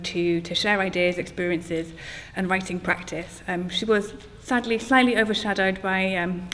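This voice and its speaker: A woman's voice: mid-range voice, fairly bright tone. A woman giving a welcome speech to a live audience as the host of an event. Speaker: event host and festival partner manager. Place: reverberant event hall. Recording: echoey hall, long reverb, background noise.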